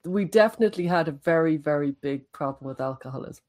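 A woman's voice: low-pitched, fast, darkish tone, no echo. A woman with an Irish accent, chatting casually as a guest and laughing easily. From Ireland. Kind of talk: casual chat.

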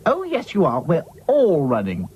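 A man voicing a bossy, snappy female rag doll who gives orders briskly in a children's cartoon. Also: on the high side, wildly swooping pitch, slight background noise, pitched above the performer's own voice. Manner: brisk, commanding, scolding. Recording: dull lo-fi audio with music.

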